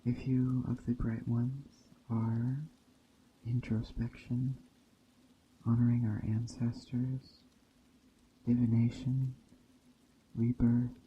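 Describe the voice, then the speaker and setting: A man speaking softly and deliberately in an ASMR roleplay as a gentle witch, often slipping into a whisper. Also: mid-range voice, flat delivery. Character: gentle pagan witch teaching a traveler about a holiday. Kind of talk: ASMR roleplay, soft-spoken character monologue. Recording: dull muffled audio, faint ambient noise. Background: soft nature ambience of rain and forest.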